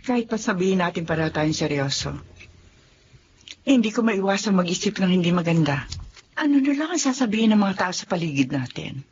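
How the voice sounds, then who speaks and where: A woman's voice: on the low side, animated, a little fast, darkish tone, close to an everyday voice. An actress playing a doubtful, worried older woman in a relationship in a radio drama. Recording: muffled radio-play recording with faint noise.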